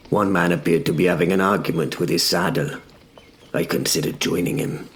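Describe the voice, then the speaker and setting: A man playing a wry, fearful young medieval knight who narrates his battles in a deadpan, measured way for an audio drama. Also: low voice, vocal fry, slight background noise, full character voice. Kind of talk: first-person scripted dramatic narration.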